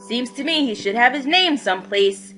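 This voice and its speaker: A woman's voice: a little high, expressive, moderate pace, pushed and bright, soft background music. A woman voicing a kindly, thoughtful male artist in a children's storybook, musing to himself.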